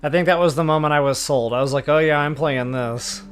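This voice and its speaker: A man's voice: fairly high pitch, a little fast. A man hosting a video game walkthrough, explaining things in a laid-back, conversational way. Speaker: gaming walkthrough host. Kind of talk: casual game commentary. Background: game music.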